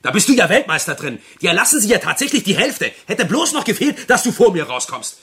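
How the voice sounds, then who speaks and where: An actor playing a gruff, hot-tempered, bossy prison inmate in a radio crime play, cursing and blunt. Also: male voice, sits high, big pitch swings, fast, a bit bright, heavily acted. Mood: mocking, indignant.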